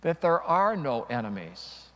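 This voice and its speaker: Middle-aged guy priest preaching, slow and deliberate, dramatic pauses, big echoey church. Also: mid-range voice, very animated, a bit bright. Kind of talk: homily with dramatic storytelling. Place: large church, before a congregation. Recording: long church reverb.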